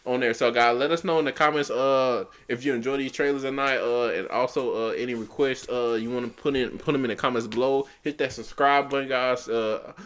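A man's voice: medium pitch, fairly quick, hardly any pauses, a bit bright. A young man hosting a reaction video in casual, slangy, easily amazed chat, recorded in a small room. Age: young adult. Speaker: host of a reaction channel.

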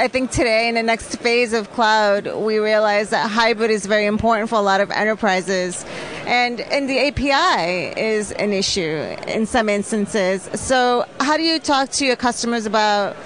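A woman's voice: a little high, normal speed, a bit bright, soft background music. A woman in her forties interviewing a guest on a trade show floor, asking polished questions into a handheld mic. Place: busy expo hall floor. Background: crowd chatter.

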